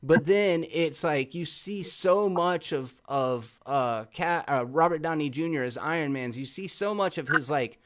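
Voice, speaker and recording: A man's voice: fairly high pitch, a little slow, few pauses. A man hosting a casual film review show, effusive and swearing freely as he talks. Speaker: host of a movie review show.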